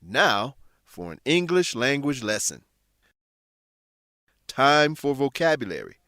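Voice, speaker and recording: A man hosts and narrates an educational radio show in a scripted, explanatory style. His medium-pitched voice moves expressively, and the recording is dry and close. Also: big pitch swings, takes it slowly, sharp tone, dry recording. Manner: clear, explanatory, addresses listeners. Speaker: narrator and English teacher.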